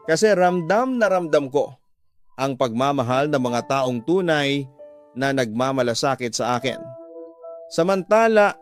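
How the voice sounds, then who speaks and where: A man narrating a letter in the first person for a radio drama, in a dramatic scripted style. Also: mid-range voice. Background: background music.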